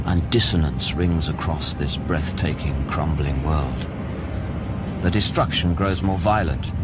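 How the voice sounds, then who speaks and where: Actor playing a haunted, very deep-voiced man of science. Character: haunted, awestruck male scientist recalling an alien world. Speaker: narrator and lead actor. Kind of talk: dramatic first-person audio play monologue. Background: music under the voice.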